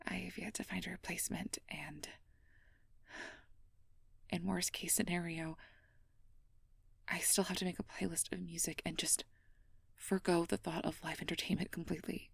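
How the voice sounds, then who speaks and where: A woman playing a stressed, self-blaming young air mage who confides haltingly and half-whispered in an intimate ASMR role-play. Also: on the low side, steady tone, vocal fry, breathy edge, no echo, full character voice. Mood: anxious, weary.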